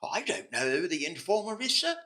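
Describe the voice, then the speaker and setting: A man's voice: high voice, very animated, a little fast, pushed and bright, full character voice. An actor playing a deferential, earnest police sergeant in an old-time radio drama, reporting respectfully to his superiors.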